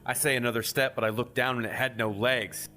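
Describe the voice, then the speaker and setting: A man's voice: mid-range voice, fairly even intonation, a little fast, sharp tone. A man reading a scripted first-person creepypasta as a horror story narrator. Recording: music behind the voice.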